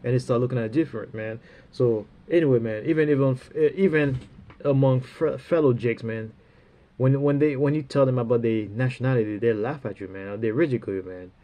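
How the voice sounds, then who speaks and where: A man with a stuffy, runny nose giving a rambling spiritual talk, halting and full of fillers, often ending phrases with "man". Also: medium pitch, warm tone. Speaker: religious speaker.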